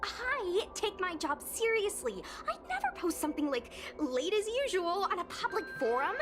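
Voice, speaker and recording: A young female ghost hunter in a video game, with a very high voice and clear music underneath. She sounds drowsy and easygoing, grumbling casually as if she badly wants her bed. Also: big pitch swings, normal speed. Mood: puzzled.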